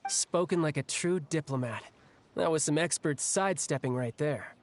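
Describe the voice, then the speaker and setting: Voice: mid-range voice, moderate pace, slight background noise, close to an everyday voice. An actor playing an easygoing, protective young adventurer in a video game, talking casually and candidly. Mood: wry, frank. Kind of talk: video game story dialogue.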